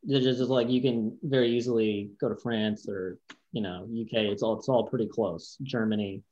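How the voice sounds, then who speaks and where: A man's voice: lowish voice, steady tone, normal speed, darkish tone, muffled recording. Playful male podcast host. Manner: playful, teasing, laughs easily. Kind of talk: casual interview.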